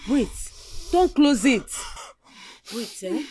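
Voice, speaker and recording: A woman's voice: on the high side, expressive, slow pace, warm tone. An actress playing a middle-aged mother, coaxing and scolding with strong emotion. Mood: soothing. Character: caring but stern Nigerian mother, middle-aged.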